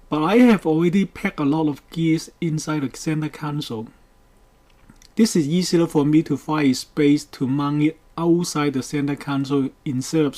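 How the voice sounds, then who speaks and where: A man delivers a scripted how-to narration with a slow, careful pace. His voice sits a little high for a man and has a soft, mellow tone. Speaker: DIY boat project presenter.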